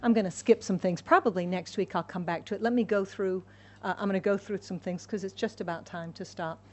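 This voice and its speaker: A woman's voice: mid-range voice, fairly even intonation, brisk. A woman from the United States preaching a sermon, emphatic and repeating her key phrases for the congregation. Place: church in Hong Kong.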